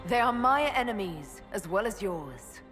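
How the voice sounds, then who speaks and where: A woman's voice: medium pitch, expressive, unhurried, a bit bright. An actress playing a resolute female elven leader who speaks in an earnest, direct way. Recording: long hall reverb. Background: faint music.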